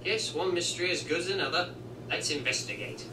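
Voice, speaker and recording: A man's voice: high voice, brisk, close to an everyday voice. A man voicing a self-important, bossy steam engine detective in a cartoon fan dub. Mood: brisk, bossy. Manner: pompous, boastful. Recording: echoey, distant, noisy playback.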